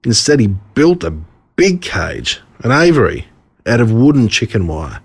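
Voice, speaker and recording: An Australian man reading his own humorous stories as scripted narration, in an expressive, wry way. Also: medium pitch, normal speed, breathy edge.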